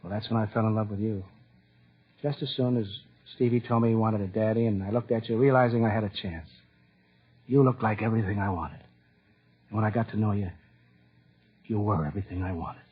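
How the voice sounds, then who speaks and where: A man's voice: fairly low pitch, fairly even intonation, a little fast, pauses often, slightly rough. An American actor playing an earnest, proud but downhearted young husband and stepfather in a 1940s radio drama. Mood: tender, wistful. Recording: muffled, narrow-band 1940s radio recording.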